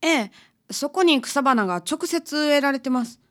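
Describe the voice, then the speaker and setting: A woman acting an anxious high-voiced female client. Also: animated, normal speed, fairly bright tone. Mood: polite, worried. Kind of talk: radio drama acting.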